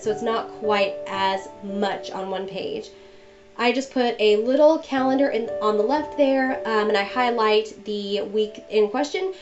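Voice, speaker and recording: A young woman with a fairly high voice talks through her planning in a chatty, friendly way, still a little stuffy from a cold. Her voice-over sounds dull and lo-fi, and instrumental music plays clearly underneath. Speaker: bullet journal vlogger. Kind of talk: casual voice-over narration.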